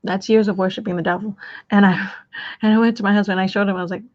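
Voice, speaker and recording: A woman's voice: medium pitch, steady tone, fast, highs cut off. A woman hosting a live stream, talking in a rambling way, often repeating words and restarting her sentences. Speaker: livestream host.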